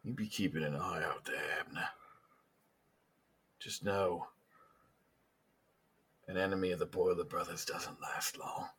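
A menacing gang leader, played by a male game master, speaks in a low voice with a hard, forceful edge. He taunts as he goes, made for a tabletop roleplaying game. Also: expressive, slow pace, heavily acted. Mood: quiet menace.

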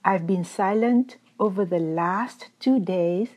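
A woman teacher giving a calm, measured dharma talk, her voice still recovering after being lost. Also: mid-range voice. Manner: calm, measured, gently explanatory. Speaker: Buddhist teacher. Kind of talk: slow reflective dharma talk.

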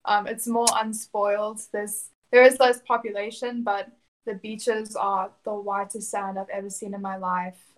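Flat-voiced South African-born woman. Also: mid-range voice, a little slow, poor audio. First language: Afrikaans. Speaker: interview guest. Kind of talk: conversational interview answers.

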